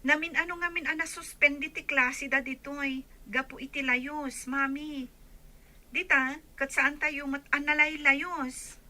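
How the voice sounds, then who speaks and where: A woman's voice: sits high, steady tone, a little slow, sharp tone, exaggerated voice. A woman playing a dutiful, anxious young daughter in an Ilocano radio drama, earnest and pleading, with an Ilocano Filipino accent. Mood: soothing.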